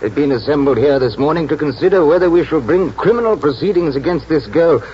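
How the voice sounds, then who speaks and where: A man playing a formal, halting chairman of a racing committee, in a 1940s radio drama style. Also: fairly high pitch, lively intonation, lightly acted. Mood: official. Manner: halting, official. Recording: dull, hissy 1940s radio recording.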